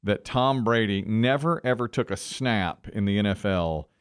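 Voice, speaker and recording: A man's voice: lowish voice, moderate pace, clear, resonant tone, no room sound, clean studio sound. Middle-aged man chatting as podcast co-host. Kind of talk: casual sports and culture chat.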